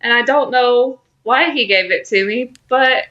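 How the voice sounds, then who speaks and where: A woman's voice: a little high, unhurried, bright, forceful tone, highs cut off. A young woman co-hosting a podcast with casual, wry, teasing banter. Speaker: podcast co-host.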